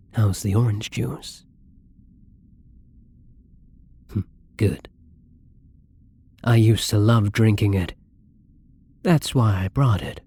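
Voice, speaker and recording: A man playing a gentle, lonely, tormented vampire in an intimate ASMR roleplay, speaking softly and hesitantly in a breathy, brooding way, often near a whisper. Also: lowish voice, full character voice. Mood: tender, wistful. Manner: slow, hesitant, brooding, tender. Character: melancholy young male vampire, gentle, lonely, tormented, once human.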